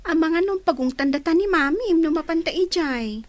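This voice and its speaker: A woman playing a cautious but indulgent aunt in a radio drama, with a gently cautioning manner. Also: very high voice, lively intonation, normal speed, no room sound. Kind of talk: Ilocano radio drama acting.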